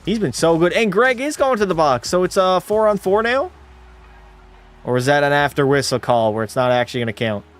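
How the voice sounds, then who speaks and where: A male streamer giving casual play-by-play of a hockey game and answering his chat in a relaxed way. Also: on the high side, fairly quick, soft background music. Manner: relaxed, drops into terse hockey call mode.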